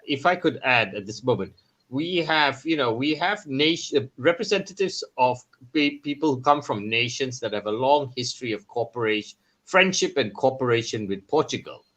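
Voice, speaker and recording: Male panelist, mid pitch, measured and explanatory. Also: expressive, fairly bright tone. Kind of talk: online panel discussion.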